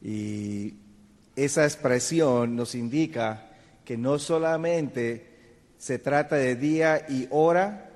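Male pastor-professor from Colombia, measured, reverberant hall. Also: medium pitch, darkish tone. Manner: measured, explanatory. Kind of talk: panel discussion.

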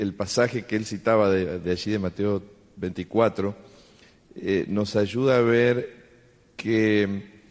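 A man from Argentina, a pastor, speaking deliberately and wordily on a panel, with fillers. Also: lowish voice, a little mellow. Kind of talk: panel discussion. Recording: long hall reverb.

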